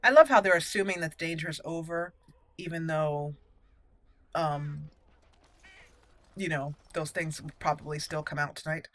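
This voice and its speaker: A woman's voice: low voice, moderate pace, pauses often. A woman hosting a gaming channel, giving casual roleplay commentary as she plays. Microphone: voice-over over game audio.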